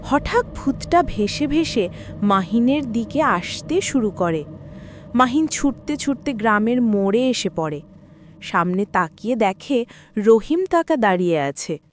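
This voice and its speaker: A woman's voice: a little high, big pitch swings, takes it slowly, slight background noise. A woman narrator reading a scripted horror story.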